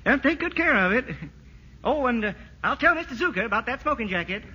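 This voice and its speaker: High-voiced folksy country tradesman. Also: lively intonation, a little fast. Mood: kindly. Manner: homespun, laconic. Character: folksy, slow-spoken, honest country tradesman. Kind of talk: 1940s radio comedy acting. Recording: muffled, noisy, echoey radio broadcast.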